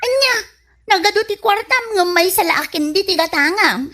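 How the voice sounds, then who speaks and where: A woman's voice: extremely high pitch, animated, moderate pace, over loud music. A woman playing a nosy, skeptical moneylending shopkeeper auntie with an Ilocano Filipino accent in a comedy radio drama, incredulous and questioning. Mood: businesslike, skeptical.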